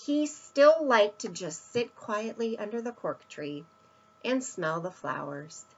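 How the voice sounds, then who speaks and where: A woman's voice: mid-range voice, fairly bright tone, lo-fi sound. A woman reading a children's picture book aloud, expressively, with emphatic stresses and asides to young listeners. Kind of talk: read-aloud children's storytelling.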